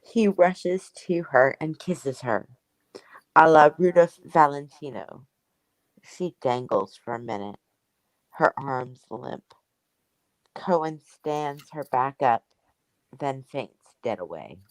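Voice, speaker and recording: Low-voiced woman reading stage directions, animated. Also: slow pace, pauses often, no echo, low-quality recording. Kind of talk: scripted narration at a table read.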